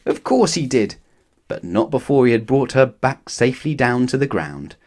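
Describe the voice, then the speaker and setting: Animated male children's story narrator. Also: mid-range voice, normal speed. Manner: expressive, addressing young listeners.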